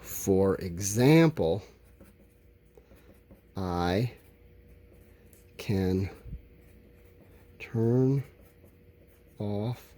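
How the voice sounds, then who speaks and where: A man's voice: lowish voice, drawn-out words, long pauses, dark tone. A man teaching a lesson to children, thinking aloud in simple, encouraging explanations. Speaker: elementary school teacher. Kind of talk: informal lesson, thinking aloud while writing answers.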